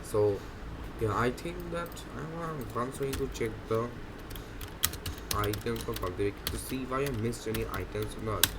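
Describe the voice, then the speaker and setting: A man's voice: on the low side, moderate pace, heavy background noise. A man giving unscripted gameplay commentary, explaining as he goes in a rambling way, often repeating and correcting himself. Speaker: game video narrator. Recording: music playing loudly behind voice.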